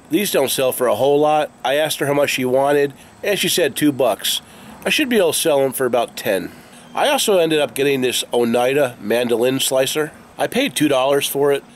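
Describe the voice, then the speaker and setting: An American man in his forties with a mid-pitched voice talks casually and plainly to his camera. He sounds like an everyday vlogger recording just outside a garage, with clear outdoor noise around him. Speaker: online reseller vlogger. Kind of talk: casual vlog talk to camera. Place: open garage doorway, outdoors.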